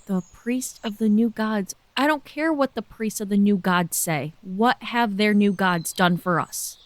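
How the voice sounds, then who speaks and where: A woman performs a female villager from a sci-fi audio drama. Her voice is medium in pitch, and she speaks with earnest, wary deliberation. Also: a little slow, dry recording, natural voice. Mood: grave, frustrated.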